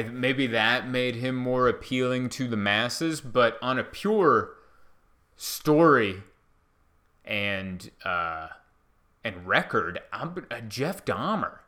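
A man in his thirties who grew up speaking Hungarian first, now talking in English with no foreign accent. His diction is slightly slurred and meandering, delivered in a bright, crisp tone at a middling pitch. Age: 30s. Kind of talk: casual chat.

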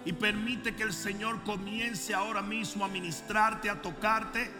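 A man preaching as a bishop, moving from calm storytelling to fervent, shouted prayer. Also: high voice, a little slow, in a large hall, music in the background. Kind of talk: sermon and prayer.

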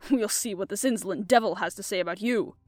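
A woman's voice: mid-range voice, fast, darkish tone, dry recording, soft background music, close to an everyday voice. A woman voicing a rugged, furious male political agitator in a storybook, snarling his demands. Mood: livid. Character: rugged, hot-tempered male political extremist.

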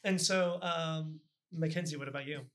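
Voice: medium pitch, steady tone, moderate pace, a bit bright. A podcast host interviewing guests in a casual, teasing and joking manner. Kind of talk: casual chat.

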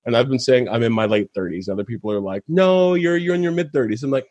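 A man's voice: mid-range voice, fast talker, a little mellow, dry recording, highs cut off. A man in his thirties, a guest in a casual conversation, talking earnestly and laughing easily. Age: 30s.

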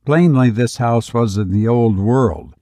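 A man speaks as the host and reader of a horror podcast, in a close, dry recording. His delivery is slow and deliberate, with measured phrasing. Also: mid-range voice, dark tone, no room sound. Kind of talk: slow intimate horror narration and host talk.

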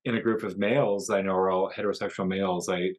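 A man's voice: deep voice, fairly even intonation, fast. A man hosting a casual conversation, chatty and prone to rambling restarts. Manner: chatty, rambling, self-interrupting. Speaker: podcast host.

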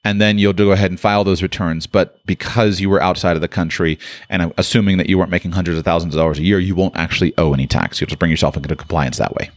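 A man hosting a call-in advice show, giving advice out loud with frequent restarts and fillers. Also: sits low, fairly even intonation, fast talker, dry recording. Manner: thinks aloud with many restarts and fillers. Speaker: radio show host.

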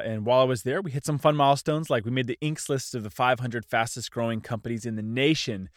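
A man's voice: medium pitch, dry recording, studio quality. A man in his thirties hosting his own podcast, talking loosely in one take, a little congested and nasal. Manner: loose, self-deprecating, unscripted one-take delivery. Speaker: podcast host. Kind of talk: casual solo podcast talk.

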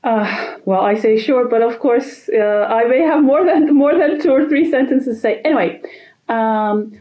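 A woman's voice: a little high, a little slow, warm tone, poor audio. A woman from Turkey, speaking conversationally as a guest in a podcast interview. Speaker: podcast guest, literature lecturer.

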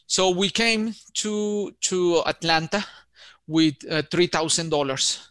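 A man's voice: sits high, slow, fairly bright tone, clean tone, dry recording. A man in his forties from Colombia with a Colombian Spanish accent, telling his story as an architect in an interview in an enthusiastic, friendly way. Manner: friendly, enthusiastic, says man and you know often. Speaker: architect and podcast guest.

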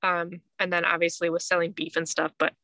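Bright-voiced woman in casual podcast chat. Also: lowish voice, flat delivery, fairly quick, no room sound. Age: 30s. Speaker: podcast co-host.

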